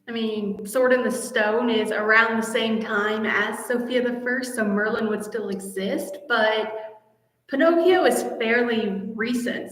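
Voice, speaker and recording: A woman's voice: on the high side, fairly bright tone. A woman hosting a casual live fan Q&A, talking in a gushing, enthusiastic way. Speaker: host of a fan channel. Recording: echoey room with long reverb.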